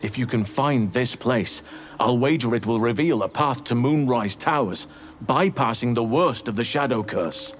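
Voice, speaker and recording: An actor playing a grave, earnest elf druid warrior in a video game, speaking in a measured, solemn way. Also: male voice, mid-range voice, a little hiss or hum, natural voice. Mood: earnest, advising. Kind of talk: video game dialogue acting.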